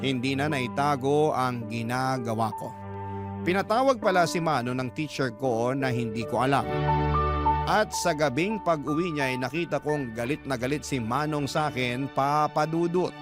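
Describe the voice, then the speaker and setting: Man acting as filipino guy looking back on a rough childhood, radio drama narration, earnest n measured, music really loud behind. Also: medium pitch, close to an everyday voice. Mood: reflective. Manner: measured, reflective storytelling. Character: earnest male letter writer recalling his hard childhood. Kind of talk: scripted first-person radio drama narration. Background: loud instrumental music.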